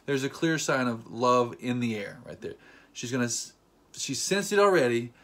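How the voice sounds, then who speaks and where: A man's voice: medium pitch, normal speed. Jokey man telling a fan story. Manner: playful, jokey, animated retelling with acted quotes. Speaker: vlogger. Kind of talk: casual storytelling vlog.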